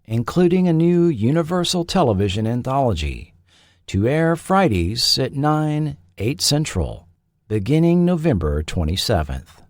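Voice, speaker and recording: Animated man narrating a documentary, close and dry. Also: mid-range voice, a little slow, no room sound. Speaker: podcast host. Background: faint background music.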